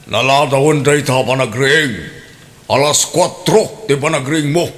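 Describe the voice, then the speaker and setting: A man's voice: mid-range voice, normal speed, fairly bright tone, close to an everyday voice. A man playing a stern, easily exasperated household boss in an Ilocano radio drama, scolding emphatically with a Filipino accent. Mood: angry, exasperated. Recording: long hall reverb.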